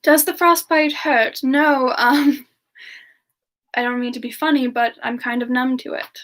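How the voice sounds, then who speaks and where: A woman plays a young frost demoness with a high, soft voice. She talks slowly and hesitantly, with a sweet, affectionate temper, as if chatting gently with viewers on an in-character ASMR stream. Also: fairly even intonation. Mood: gentle, chatty. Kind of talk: semi-ASMR in-character live Q&A.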